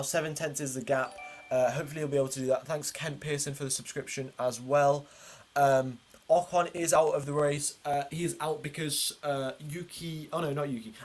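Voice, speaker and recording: Higher-voiced man giving informal race commentary. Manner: informal, chatty, explanatory. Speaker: livestream host and commentator. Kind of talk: live motor race watchalong commentary.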